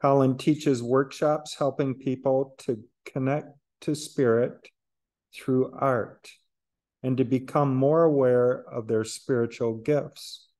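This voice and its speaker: Church chair guy reading an intro, slow, warm dark voice. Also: medium pitch, steady tone. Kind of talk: read introduction. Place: church hall, indoors. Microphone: lectern mic.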